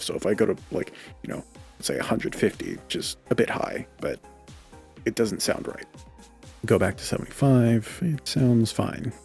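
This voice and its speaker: A young man with a low voice giving a relaxed, casual tutorial on audio mixing, thinking aloud and correcting himself as he goes. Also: vocal fry, husky. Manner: relaxed, thinks aloud with self-corrections and filler "you know". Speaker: podcaster explaining audio mixing. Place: home room with a fan running. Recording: home studio mic with quiet fan noise and faint music.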